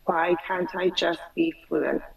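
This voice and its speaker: A woman client who stutters, slowly repeating phrases after her coach over a video call. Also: lowish voice, steady tone, gravelly, recorded from a distance, dull audio.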